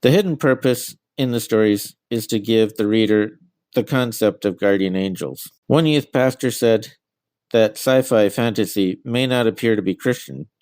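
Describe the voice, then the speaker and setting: A man's voice: lowish voice, darkish tone, no room sound. A middle-aged man being interviewed as an author, giving answers about their own work.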